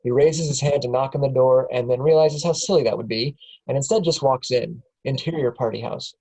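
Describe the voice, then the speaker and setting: Brief: man reading action lines at a table read, husky voice, mid pitch. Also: fairly quick, warm tone, poor audio. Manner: plain reading, small chuckles and restarts. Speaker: narrator reading stage directions. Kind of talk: script table read narration.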